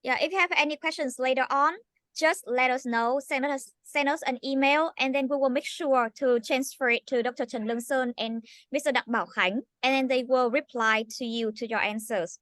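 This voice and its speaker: A woman's voice: high-pitched, fairly even intonation, moderate pace, a bit bright, no room sound. A woman hosting an online session, thanking the speaker and guiding the attendees in a polite, formal way. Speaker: session host.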